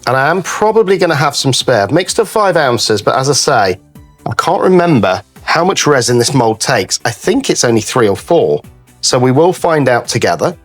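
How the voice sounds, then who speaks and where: A man with a slightly croaky, cold-affected voice, chatting casually to viewers as he hosts a craft tutorial. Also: mid-range voice, sing-song, moderate pace, soft background music. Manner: chatty, addresses viewers as guys. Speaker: craft channel host.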